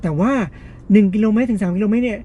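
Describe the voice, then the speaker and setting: A man's voice: high voice, fairly even intonation, fast, warm tone. Man explaining a gadget test, faint noise. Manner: explanatory, frequent polite particles. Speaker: gadget review host. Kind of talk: casual product test narration to viewers.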